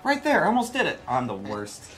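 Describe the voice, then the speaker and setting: A man in his thirties giving a casual, jokey gameplay demonstration on a livestream, with self-deprecating, animated exclamations. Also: on the high side, a little fast, poor audio. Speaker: developer demonstrating game. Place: studio livestream set, indoors. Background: game music.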